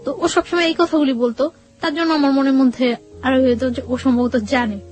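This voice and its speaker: A woman telling her life story as an interview guest, in a conversational, halting way, quoting dialogue as she goes. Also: sits high, steady tone, darkish tone.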